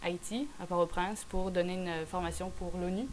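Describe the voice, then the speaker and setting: Young woman, Québécois accent, rambling casual chat, fillers, English words mixed in, fairly low voice, faint background noise. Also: fairly even intonation, brisk. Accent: Québécois French. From France. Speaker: guest.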